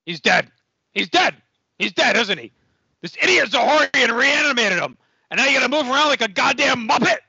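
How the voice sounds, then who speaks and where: A man playing an exasperated, bombastic wrestling company boss in a comic audio play, with a raspy, strained voice. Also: very high voice, expressive, a little fast, bright, forceful tone, no room sound, muffled recording, full character voice. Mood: exasperated disbelief.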